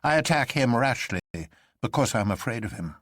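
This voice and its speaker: A man performs a rash male poet turned detective for radio. He is earnest and boldly direct, his voice raised in pitch and urgent. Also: animated, moderate pace, fairly bright tone, dry recording, studio quality. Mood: frank.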